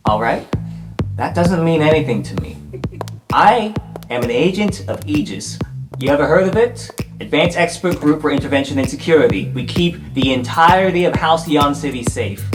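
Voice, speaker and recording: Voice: medium pitch, animated, normal speed, music in the background, close to an everyday voice. A game master voicing a female hammerhead shark government agent who is brusque, dismissive and patronizing in a tabletop radio game. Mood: dismissive, condescending.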